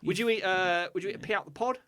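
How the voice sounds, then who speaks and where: A man co-hosting a casual comedy podcast, playful and quick to joke. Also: high-pitched, fairly bright tone. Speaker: podcast co-host.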